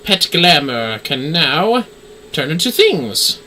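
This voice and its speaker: A man's voice: on the high side, sing-song, takes it slowly, pushed and bright, slight background noise. A man doing casual livestream game commentary, talking to himself as he plays and reading game text aloud. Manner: talks to self while playing. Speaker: livestream gamer, VTuber.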